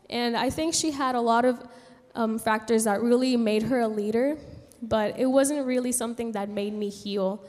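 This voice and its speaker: A young woman from San Juan tells a personal story to a gathering, haltingly, pausing mid-sentence. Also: on the high side, a little mellow. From San Juan, Puerto Rico. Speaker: speaker giving a personal testimony. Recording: long hall reverb.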